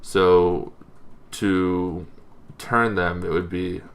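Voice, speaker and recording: A man hosting an informal explainer on tabletop game monsters, talking in a casual, halting, loosely phrased way. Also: low voice, level tone, smooth. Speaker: host of a tabletop roleplaying lore show.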